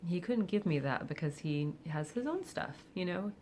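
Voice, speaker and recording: A woman of forty speaking candidly and reflectively in a personal vlog, with soft laughs and hesitant fillers. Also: lowish voice, animated, normal speed, slight background noise. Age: 40s. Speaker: vlogger. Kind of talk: personal confessional monologue.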